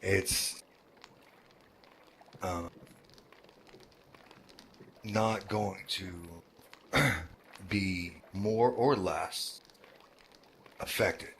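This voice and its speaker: Slow, deep-voiced man with many pauses. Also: a bit bright, slight background noise. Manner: halting, with many fillers and restarts. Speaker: roleplay game world organizer. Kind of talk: casual talk to a roleplay group.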